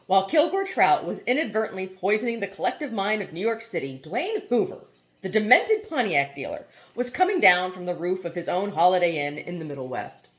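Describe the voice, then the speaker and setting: Woman, home audiobook reader, low voice with wide, animated pitch swings, casual asides. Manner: expressive reading, laughs at funny passages; quiet, chatty aside between chapters. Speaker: audiobook reader and channel host. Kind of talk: amateur novel reading with casual commentary.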